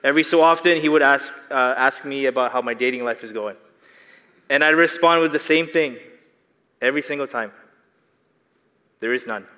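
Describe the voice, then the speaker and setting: A man's voice: medium pitch, moderate pace, pauses often, sharp tone. A young adult man from the Philippines with a Filipino accent and a slight lisp, preaching an earnest sermon and asking his congregation questions. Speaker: lay preacher. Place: large church hall. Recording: long hall reverb.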